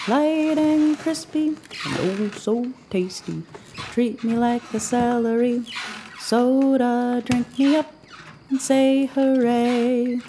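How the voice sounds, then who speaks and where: A dreamy, offbeat young woman, played by a woman, slow and singsong in a rather high voice. She lingers over each word in a relaxed radio-play delivery, with faint hiss underneath. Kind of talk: audio drama acting.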